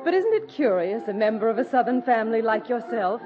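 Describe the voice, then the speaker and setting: An actress playing a sharp-tongued young society woman, politely needling, in a 1940s radio drama. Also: a little high, big pitch swings, brisk. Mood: arch, amused. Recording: dull 1940s radio recording with music.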